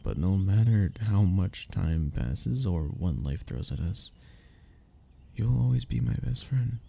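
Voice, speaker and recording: Man acting a deep, gravelly sentimental young friend. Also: lively intonation, measured pace, warm tone, heavily acted. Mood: earnest, tender. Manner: intimate, conversational, with sighs and chuckles. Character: sentimental, teasing young man reminiscing with childhood best friend. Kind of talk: ASMR roleplay audio drama.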